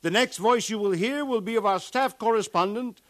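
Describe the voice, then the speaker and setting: A man plays an earnest radio field reporter in a 1950s drama. His voice is high for a man and very dry, with a courteous, formal announcer delivery. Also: moderate pace, no echo, lightly acted. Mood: smooth, announcing. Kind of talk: 1950s radio newscast acting.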